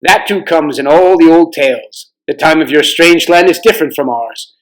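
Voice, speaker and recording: A man's voice: on the high side, moderate pace, natural voice, poor audio. A man voicing a grave, courteous king from a storybook, speaking in a formal, archaic manner. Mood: earnest, urgent. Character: courteous, grave young king of a fantasy realm.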